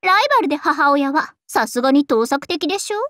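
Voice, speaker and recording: Super high girly anime game dragon princess, vain and playful, voice swooping everywhere, dry recording. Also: animated, fairly quick, a bit bright, no room sound. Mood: haughty, teasing. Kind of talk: Japanese anime game character voice lines.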